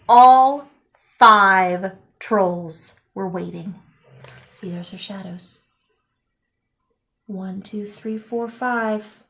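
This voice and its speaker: A woman reading a picture book aloud expressively, with deliberate dramatic emphasis and pauses. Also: mid-range voice, a bit bright.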